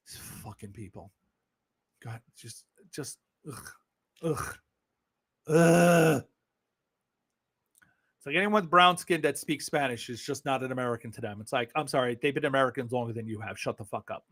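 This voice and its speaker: A man's voice: medium pitch, measured pace, long pauses, fairly bright tone. A man with a New York accent, hosting a casual livestream, talking bluntly with sarcasm and frequent swearing. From Brooklyn, New York. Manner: blunt, sarcastic, swears freely and laughs often. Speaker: political livestream host. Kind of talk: casual live-stream chat.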